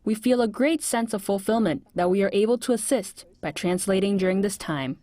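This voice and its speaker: Mellow woman interpreter, dry sound. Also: medium pitch, a little fast, no room sound. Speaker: police interpreter. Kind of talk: interview answer.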